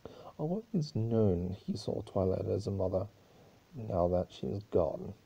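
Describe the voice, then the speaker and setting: A weary pony princess from a storybook, acted by a man, solemn and wounded, with a short temper. The voice is husky and dark, rising and falling freely. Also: on the low side, expressive, takes it slowly, heavily acted. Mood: sorrowful. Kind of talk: dramatic fan-fiction reading.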